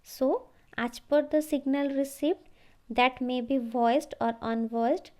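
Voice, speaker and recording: A woman giving an explanatory slide lecture, halting and repeating phrases as she goes. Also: fairly high pitch, warm tone. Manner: halting, repeats phrases, often says okay.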